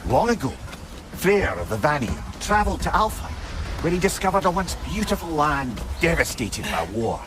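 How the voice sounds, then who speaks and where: A man with a Scottish accent playing a wry, learned old talking head in a video game, telling tales in a measured way. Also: on the high side, very animated. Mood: storytelling. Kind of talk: video game character acting. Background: music.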